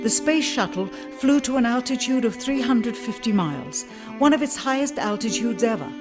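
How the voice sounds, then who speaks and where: A woman reading scripted documentary narration in a formal, measured way. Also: a little high. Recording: music behind the narration. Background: music bed.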